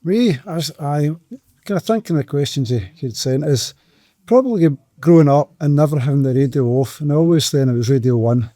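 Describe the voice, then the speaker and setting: A man's voice: a little high, a little fast, dark tone, dry recording. A man of sixty, telling easygoing, rambling stories. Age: 60s. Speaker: music fan guest. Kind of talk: casual reminiscing.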